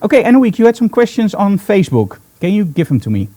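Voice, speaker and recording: A man with a Dutch accent speaks as the host of a live broadcast. His voice sits a little high with a mellow, dark tone, recorded dry and close. He explains things informally, with enthusiasm and emphasis. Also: big pitch swings, fast, no echo. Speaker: host, photographer.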